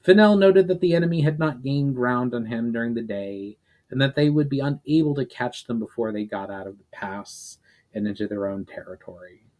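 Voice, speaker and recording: A man's voice: medium pitch, normal speed, a little mellow. A man giving a dramatic scripted narration of a fantasy story as a storyteller. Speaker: author and storyteller.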